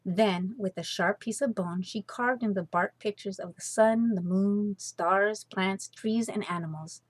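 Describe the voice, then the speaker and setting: Dry-sounding woman storyteller, deliberate phrasing. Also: medium pitch, dry recording. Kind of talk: expressive children's storybook reading.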